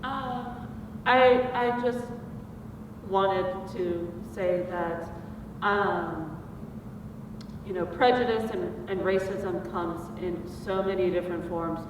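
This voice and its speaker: A woman writer from Puerto Rico speaking thoughtfully on a panel, with many pauses and fillers. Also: on the low side, recorded from a distance. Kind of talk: panel discussion remarks. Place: conference hall. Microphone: far from the mic. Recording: echoey hall recorded from afar, noisy.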